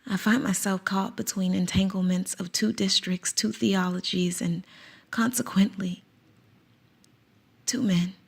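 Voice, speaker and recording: A woman's voice: mid-range voice, fairly even intonation, measured pace, creaky, husky. An actress playing a conflicted woman teacher who spies for someone, narrating in first person in a radio drama with an anxious, reflective manner. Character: conflicted woman teacher, a circus school spy. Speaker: narrator and lead character.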